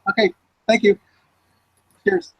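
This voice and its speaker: A man chatting as a guest on a live stream over a video call, relaxed and hesitant. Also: slow. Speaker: guest art reseller. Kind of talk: casual live-stream chat.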